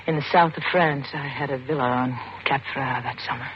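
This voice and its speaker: Hesitant, low-voiced accused widow, old radio. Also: female voice, steady tone, a little fast. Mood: dazed, hesitant. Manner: hesitant, guarded. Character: bewildered, fearful widow accused of murder. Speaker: actress in a radio drama. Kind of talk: old-time American radio suspense acting. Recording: muffled, noisy old radio recording.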